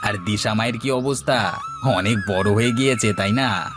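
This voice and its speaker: A man's voice: lowish voice, normal speed, over music, natural voice. A man playing a reassuring middle-aged friend in a cartoon story, easygoing and consoling in manner. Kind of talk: cartoon moral-story dialogue.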